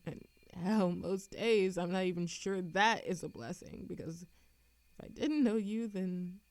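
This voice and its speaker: Slow-spoken, lovesick immortal woman, voiced by an actress. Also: mid-range voice, dry recording, natural voice. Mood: anguished passion. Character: lovesick, world-weary immortal woman confessing love to Death. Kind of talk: scripted romantic audio roleplay acting.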